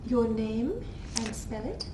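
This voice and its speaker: A woman's voice: on the high side, very animated, takes it slowly, dark tone. A woman conducting an oral history interview, asking brief, formal questions. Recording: noisy archival interview tape.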